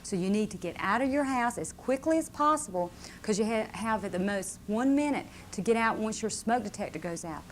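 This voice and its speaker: A woman presents safety advice to children in plain, informal language, nudging them with little questions. Her pitch is medium, and there is faint noise from an old video recording. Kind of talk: live instructional talk to children.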